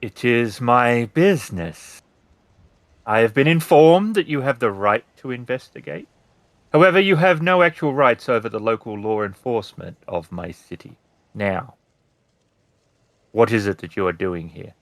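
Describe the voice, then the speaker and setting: A man's voice: medium pitch, expressive, normal speed, no room sound, lightly acted. A man voicing a haughty, cagey sixty-year-old woman who rules a city, speaking formally in a tabletop game. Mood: imperious, guarded.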